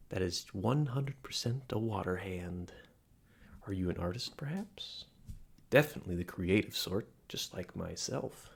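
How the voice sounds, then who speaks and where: Man playing a flirty, low-voiced fortune teller. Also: vocal fry, heavily acted. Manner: flirtatious, theatrical, wheedling, swinging into soft murmurs. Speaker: palm reader character in an audio roleplay. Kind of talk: scripted intimate roleplay addressed to the listener.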